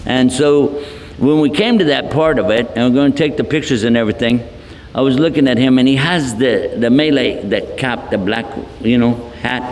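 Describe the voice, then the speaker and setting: A man's voice: medium pitch, normal speed, darkish tone, slight background noise. A Texan man giving a humorous, folksy public lecture, telling stories with joking asides. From Texas. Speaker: Islamic lecturer. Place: large multipurpose hall. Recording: reverberant hall from a distance.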